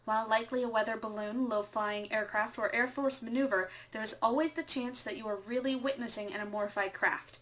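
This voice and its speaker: A woman's voice: on the high side, steady tone, brisk, hardly any pauses, a bit bright, muffled recording, lightly acted. A woman playing an earnest, dutiful resistance broadcaster co-hosting an underground radio show in an audio drama, with an announcer-like manner. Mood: official, earnest.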